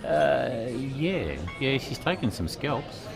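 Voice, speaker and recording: A man with an Australian accent commentating a pool match in a relaxed, chatty way, with a quiet voice and many fillers. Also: mid-range voice, slow, gravelly, over music.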